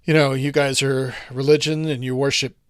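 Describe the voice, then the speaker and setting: A man's voice: mid-range voice, flat delivery, moderate pace, smooth, dry recording, clean studio sound. A man hosting a casual discussion podcast, thinking aloud in long, rambling sentences full of restarts and asides. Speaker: podcast host.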